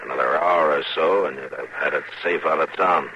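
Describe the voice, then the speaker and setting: An actor playing a steady, plainspoken frontier marshal in a measured, laconic 1950s radio western style. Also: male voice, a bass voice. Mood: stern. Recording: dull noisy old radio recording.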